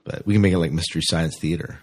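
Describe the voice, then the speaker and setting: A man with a deep, somewhat raspy voice co-hosts a fan podcast. He talks in a loose, chatty way, drifting into asides as he addresses the listeners. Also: fairly even intonation, very fast. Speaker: podcast co-host. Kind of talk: casual sci-fi fan podcast chat.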